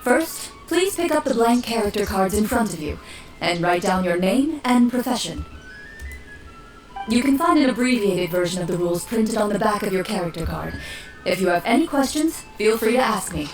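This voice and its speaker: An actress playing a calm woman who runs a tabletop game and explains the rules patiently. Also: medium pitch, moderate pace, lightly acted. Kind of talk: game voice acting. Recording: distant echoey game audio with music.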